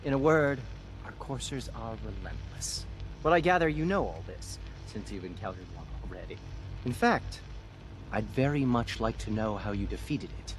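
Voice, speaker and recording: A man's voice: fairly low pitch, expressive, moderate pace, faint music behind, close to an everyday voice, poor audio. An actor playing a suspicious, condescending male security director with a clipped, officious manner in a video game. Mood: stern, irritated. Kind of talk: video game dialogue acting.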